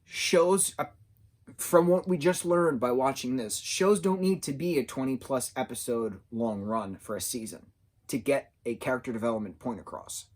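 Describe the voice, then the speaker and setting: A man of about thirty giving a casual, unscripted TV review, rambling and halting as he searches for his words. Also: mid-range voice. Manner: rambling, halting, loses his train of thought. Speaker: TV show reviewer.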